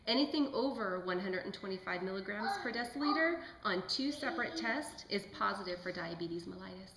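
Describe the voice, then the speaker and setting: A woman's voice: mid-range voice, animated, a little fast, very noisy, lightly acted. A woman playing a polite, studious lab technician in an amateur puppet show, with careful, recited delivery. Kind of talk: scripted amateur lecture. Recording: echoey room.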